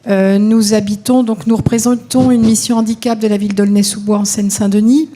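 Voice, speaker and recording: Polite woman with a warm voice asking a question. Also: mid-range voice, fairly even intonation, slight background noise. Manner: polite, formal, measured. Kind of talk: audience question at a conference.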